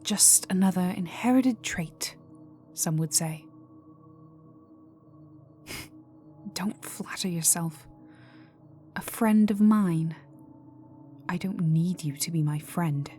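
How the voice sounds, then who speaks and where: A woman performs a cold vampire noblewoman for a dark ASMR roleplay. Her voice is on the low side and very unhurried, often sinking into a whisper. She is condescending, teasing and quietly menacing, over moody ambient music. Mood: guarded, disdainful. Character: cold, haughty aristocratic female vampire, menacing and amused. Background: dark atmospheric music with resonant tones.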